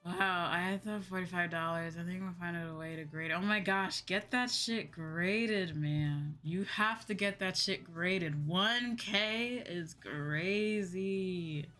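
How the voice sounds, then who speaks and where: A woman's voice: lowish voice, takes it slowly, few pauses, bright, forceful tone. A young woman livestreamer chatting casually in a slangy, exclamatory way, like a VTuber talking to her viewers. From United States. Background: background music.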